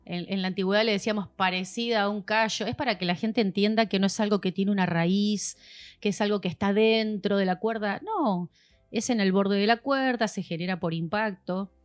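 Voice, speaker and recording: A woman's voice: mid-range voice, fairly quick, faint music behind. Argentine woman explaining voice care patiently. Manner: patient, teacherly, acts out examples. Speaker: voice specialist guest. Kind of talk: explanatory podcast chat.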